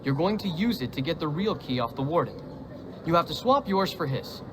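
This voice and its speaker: Casting: actor as scheming male jailbird forger, higher-pitched voice, wry and conspiratorial, game drama, noisy ambience behind. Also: expressive, brisk, natural voice. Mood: sly. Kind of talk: dramatic game cutscene acting. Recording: clear background noise and ambience.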